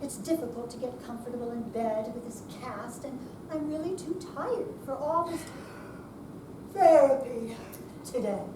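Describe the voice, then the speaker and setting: A woman's voice: on the high side, moderate pace, lightly acted. Woman reading as stubborn older lady, echoey and distant. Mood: weary, reluctant. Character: stubborn, tired older woman recovering with a cast. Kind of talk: amateur readers theater stage reading. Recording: roomy, distant, noisy live room recording.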